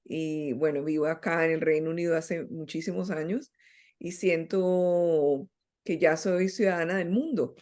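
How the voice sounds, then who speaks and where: A woman's voice: sits low, measured pace, a little mellow. Reflective Colombian woman guest. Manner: reflective, hesitant with many fillers. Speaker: guest, writer. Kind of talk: interview conversation.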